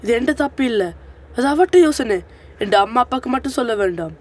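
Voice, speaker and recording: A woman's voice: on the high side, expressive, quick pace, darkish tone. A woman with a Swiss German accent playing a clever, cautious schoolboy sidekick in a children's radio drama. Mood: panicky.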